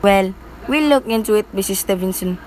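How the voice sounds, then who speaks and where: A woman's voice: medium pitch, moderate pace, a little mellow, natural voice, rough recording. A mid-range female voice acting as a police desk officer in an amateur radio play. The officer is procedural and placating, with faint noise in the background. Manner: noncommittal, procedural.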